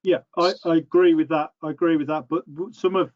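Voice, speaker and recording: A man's voice: a little high, steady tone, a little fast, no echo, highs cut off. A man, an independent livestock advisor, speaking on a webinar panel and often restarting his sentences. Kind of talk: panel discussion.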